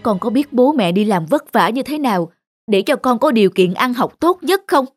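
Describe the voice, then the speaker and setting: Woman acting a stern cartoon mother, high voice. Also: expressive, moderate pace, soft background music, natural voice. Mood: stern, disappointed. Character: stern, worried mother scolding her son. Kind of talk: Vietnamese cartoon dubbing.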